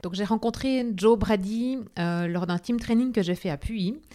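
A woman's voice: medium pitch, normal speed, dark tone, faint music behind. A woman hosting a podcast, reading a scripted intro and then speaking hesitantly with many fillers. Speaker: podcast host.

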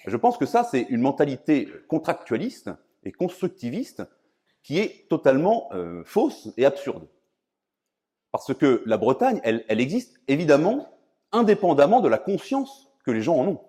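French guy giving a philosophy lecture, soft mellow tone, pitch moves around a lot, teachy and emphatic. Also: very animated. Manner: didactic, emphatic, with searching pauses and repeated words. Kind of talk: public philosophy lecture, semi-improvised.

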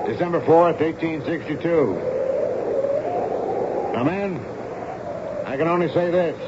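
A man's voice: fairly high pitch, natural voice. A man playing a formal, authoritative Civil War officer in a 1970s radio drama. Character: male Civil War army officer commanding a fort, formal, dutiful. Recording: dull, noisy vintage radio broadcast.

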